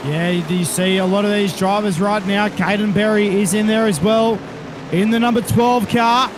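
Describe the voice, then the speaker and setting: A man's voice: high voice, steady tone, moderate pace. Australian man calling a sim race. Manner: calls the action with driver and car details, says mate and reckon. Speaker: race commentator. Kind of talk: sim racing commentary. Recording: noisy broadcast mix with engine noise.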